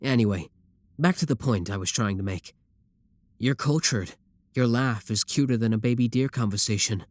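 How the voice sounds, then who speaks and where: A man's voice: mid-range voice, moderate pace, dry recording, natural voice. A man playing an adoring, obsessive yandere sibling in an ASMR roleplay, reassuring and matter-of-fact about his menacing devotion. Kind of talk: scripted ASMR roleplay addressed to the listener.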